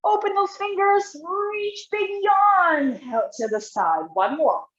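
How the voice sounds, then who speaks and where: A woman physiotherapist with a high voice talks a class through stretches, step by step and encouraging. Her voice is a little croaky. The sound is roomy and echoing, with a dull, lo-fi quality. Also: slow pace, fairly bright tone. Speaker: physiotherapist leading an exercise class. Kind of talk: live online exercise instruction.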